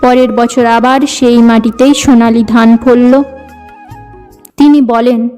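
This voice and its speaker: A woman's voice: fairly high pitch, level tone, slow pace, warm tone, soft background music. A woman reading scripted narration for a cartoon story as its storyteller.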